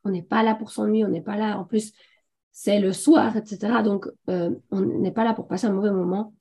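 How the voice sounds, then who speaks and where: A woman with a hoarse voice from a sore throat, enthusiastically and a little haltingly presenting a live online webinar as host. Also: mid-range voice, steady tone, dark tone. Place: online video conference.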